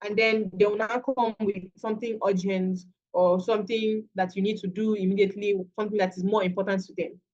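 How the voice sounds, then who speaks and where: A woman's voice: medium pitch, little pitch movement, fairly quick, hardly any pauses, a little mellow, dull audio. A woman with a Nigerian accent, teaching a masterclass in a conversational way and restarting her phrases often. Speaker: virtual assistant trainer. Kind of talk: masterclass lecture.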